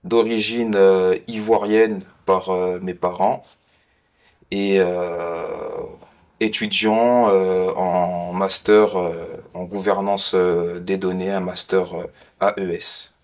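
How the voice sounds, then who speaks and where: A man in his twenties from the Lyon suburbs introducing himself haltingly in an interview. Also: sits low, flat delivery, a little mellow. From France (Lyon suburbs). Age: 20s. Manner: hesitant, halting delivery. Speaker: student and community volunteer.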